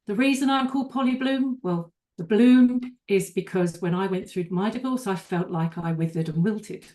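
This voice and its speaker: A woman's voice: mid-range voice, normal speed, mellow. An older woman, a divorce coach, giving an earnest webinar presentation with small restarts.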